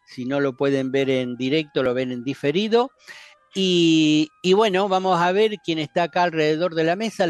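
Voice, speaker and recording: Give me a man with a slightly high voice hosting a relaxed spiritual radio talk. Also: clear, resonant tone, no room sound. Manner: calm, friendly moderator passing the turn. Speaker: radio show host. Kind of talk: casual spiritual radio chat.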